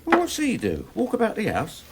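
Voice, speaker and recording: An actor playing a deferential, shrewd gentleman's manservant in a radio drama, formal and discreetly sly. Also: sits high, wildly swooping pitch, normal speed, a little hiss or hum, full character voice. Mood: smooth, coaxing.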